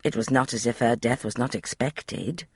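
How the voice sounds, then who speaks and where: A woman voicing a blunt, sharp-tongued older lady with a brusque, salty way of talking. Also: extremely low pitch, moderate pace, slightly rough, dry recording, clean studio sound.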